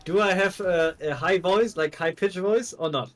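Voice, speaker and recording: A man's voice: high-pitched, moderate pace. A man chatting casually as a co-commentator on a livestream. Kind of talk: casual chat.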